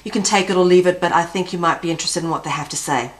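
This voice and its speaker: A woman from South Africa with a South African accent, conducting a conversational interview in an effusive, slightly halting way. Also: lowish voice.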